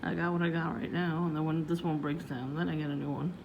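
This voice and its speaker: New lady chatting low into her phone, rambly, lots of like and you know, noisy recording. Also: fairly even intonation, fast talker, gravelly, highs cut off. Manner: chatty, meandering, frequent fillers and restarts. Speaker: vlogger. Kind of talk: casual rambling vlog. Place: outdoors, windy.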